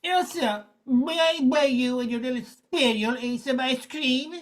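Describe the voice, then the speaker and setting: A woman's voice: high voice, unhurried. A woman playing a friendly but harried male dog owner, polite and apologetic, in an amateur radio play.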